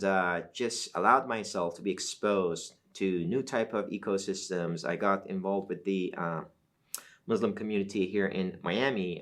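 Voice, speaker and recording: A middle-aged man from Iran, whose first language is Azeri Turkish, speaking as a professor guest in an academic interview, measured and explanatory with frequent fillers. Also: low voice.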